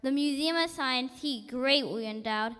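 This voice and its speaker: A young girl reciting a rhyming tribute in a slow, careful sing-song at a ceremony in a church. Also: high-pitched, fairly bright tone, clean tone. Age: child. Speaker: schoolchild performer. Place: large church hall. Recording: distant voice with church reverb.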